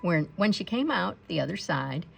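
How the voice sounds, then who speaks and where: A woman reading a picture book aloud to children in an expressive storytelling style. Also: lowish voice, moderate pace, gravelly, faint music behind. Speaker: storybook read-aloud reader.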